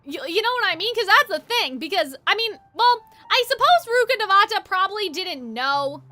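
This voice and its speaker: Very high, bright-voiced woman streaming a game. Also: big pitch swings, moderate pace. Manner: rambling, thinking aloud, addressing chat. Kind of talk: casual live gameplay commentary.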